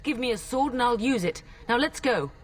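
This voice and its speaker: A woman's voice: a little high, lively intonation, brisk, recorded from a distance, slight background noise, lightly acted. An actress playing a resolute middle-aged noblewoman and mother in a fantasy video game, speaking formally and dramatically.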